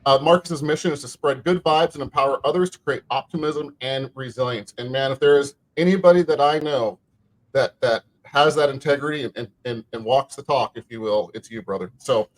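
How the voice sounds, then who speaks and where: A man hosts a podcast in a loose, casual way, leaning on fillers and repeating himself as he talks. His voice sits in an ordinary middle pitch. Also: a little fast, low-quality recording. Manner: friendly, with many fillers and repeated words. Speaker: podcast host. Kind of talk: casual chat.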